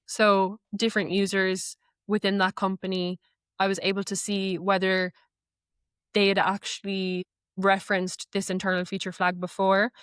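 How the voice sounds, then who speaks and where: A woman's voice: medium pitch, completely flat delivery, moderate pace, a bit bright, smooth, dry recording, studio quality. A woman speaking as an interview guest, explaining a work process step by step. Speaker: guest, AI knowledge manager.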